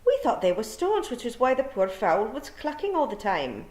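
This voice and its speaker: Woman reader playing wee wild Scottish fairy men, high, jittery and rough-spoken. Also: big pitch swings, normal speed, a little mellow, reverberant. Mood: nervous. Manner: high squeaky broad brogue.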